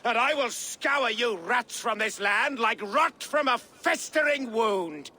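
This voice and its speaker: Sneering high-pitched noble villain. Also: male voice, lively intonation, slow pace, pushed and bright, heavily acted. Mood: contemptuous. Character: arrogant, sneering Saxon noble villain, Wigmund. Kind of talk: video game cutscene acting.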